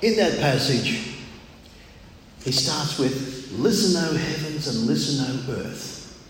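An older man with a loud voice preaches a sermon in a measured, deliberate manner with frequent pauses. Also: on the high side, lively intonation. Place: large echoey room, speaking to a congregation. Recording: very echoey hall, clear background noise.

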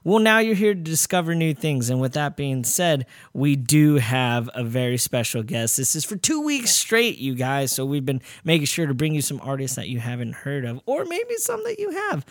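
A male podcast host with a fairly high-pitched voice, recorded dry with little room sound. He chats in slang, excitable and informal, bantering through a casual interview. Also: normal speed, hardly any pauses, no echo.

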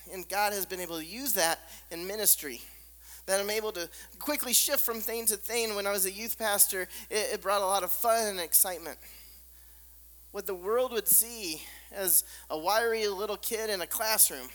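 Squeaky voiced guy preaching, repeats lines for punch, goes hushed, long hall echo. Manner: emphatic repetition, drops to soft near-whispers. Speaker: pastor. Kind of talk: sermon. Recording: long reverb of a large hall.

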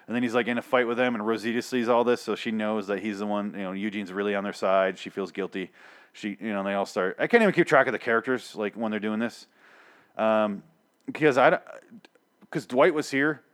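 A man's voice: fairly low pitch, fairly even intonation, fairly quick. Exasperated, swearing young man ranting. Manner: exasperated, sweary, rambling with restarts. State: losing his voice. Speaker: TV show reviewer. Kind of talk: profane ranting review.

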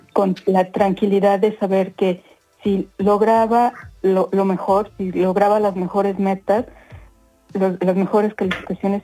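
A woman in her fifties with a Mexican accent, giving conversational interview answers, often pausing and repeating words as she searches for phrasing. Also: on the low side, dark tone, low-quality recording. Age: 50s. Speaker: interview guest, corporate professional.

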